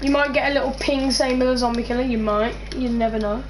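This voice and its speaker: Brief: woman, high voice, halting curious questions, background noise. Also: steady tone. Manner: hesitant, full of fillers and restarts. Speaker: co-host asking questions. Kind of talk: casual chat.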